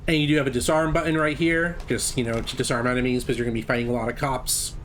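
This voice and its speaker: A man's voice: medium pitch, fairly even intonation, fast, a bit bright, a little hiss or hum. A man giving casual, chatty live commentary on a game speedrun, explaining as he goes. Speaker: gameplay commentator.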